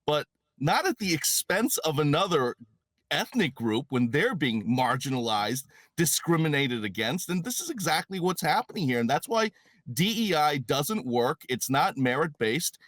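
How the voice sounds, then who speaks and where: A man's voice: fairly high pitch, very animated, normal speed, a bit bright, dry recording. An American man giving conversational political commentary as a panel guest.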